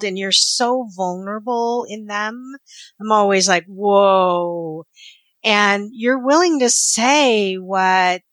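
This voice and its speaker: A woman from the United States, a podcast guest, speaking earnestly and with measured thought. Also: a little high, no echo. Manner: earnest, measured, warm praise. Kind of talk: casual conversation.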